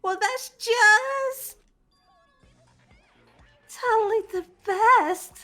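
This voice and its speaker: A woman's voice: very high voice, drawn-out words, sharp tone, soft background music, voice pushed up, heavily acted. A woman voicing a manic teenage girl mastermind villain from a video game, theatrical, mocking and gushing. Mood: ecstatic, gloating. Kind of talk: fan voice acting of a video game script.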